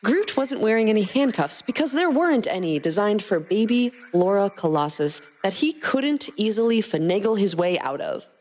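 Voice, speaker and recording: A woman hosting a fan fiction podcast, speaking in a light, expressive storytelling style. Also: mid-range voice, moderate pace, few pauses.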